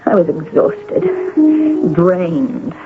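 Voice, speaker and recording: A woman's voice: lowish voice, steady tone, drawn-out words, over music. An actress playing a self-absorbed, domineering woman novelist in a radio drama, theatrical and grandiose in her delivery. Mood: seething. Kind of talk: radio drama acting with first-person narration. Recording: dull, noisy old radio broadcast.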